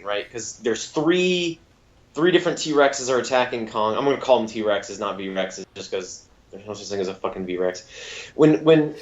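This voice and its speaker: A slightly muffled, lo-fi recording of a male podcast co-host at a medium pitch. He chats casually and emphatically, stumbling and repeating words as he builds each point. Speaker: podcast co-host reviewing audio dramas. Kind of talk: casual, sweary review chat.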